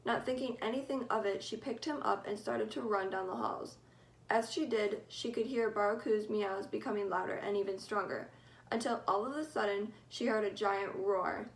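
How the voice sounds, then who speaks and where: A teenage girl giving a mock-serious school presentation, telling a made-up story in a deadpan, mock-formal way. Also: mid-range voice, moderate pace, creaky, low-quality recording. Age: teens. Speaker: student presenter.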